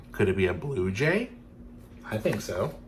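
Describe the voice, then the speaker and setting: A man's voice: lowish voice, a little hiss or hum. A man reading a picture book to children, playfully addressing young listeners as he goes. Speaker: storytime reader for children.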